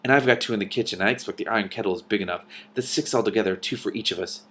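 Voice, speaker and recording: A man's voice: on the low side, very fast, bright, forceful tone, a little hiss or hum. Casting: male reader as young princess, storybook, worried, earnest, plucky helper. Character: anxious but plucky young princess, helpful.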